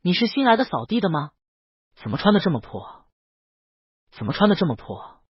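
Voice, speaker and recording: A woman's voice: lowish voice, very animated, fairly quick, breathy edge, dry recording, muffled recording, voice pushed down, full character voice. A woman voicing theatrical palace figures, such as courtiers and a prince, in a fairy-tale story. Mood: disdainful.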